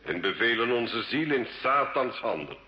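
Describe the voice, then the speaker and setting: A male actor playing a cunning, scornful sorcerer and alchemist, solemn, measured and theatrical, in an old radio drama. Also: medium pitch, very animated, lightly acted. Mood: ritual incantation. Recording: dull, echoey, hissy old radio recording.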